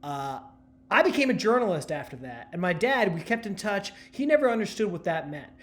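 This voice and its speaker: A man in his thirties from New Jersey, telling stand-up stories with a dry delivery and deadpan punchlines. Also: high voice, fairly quick. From New Jersey, USA. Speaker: stand-up comedian and journalist. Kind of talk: stand-up storytelling.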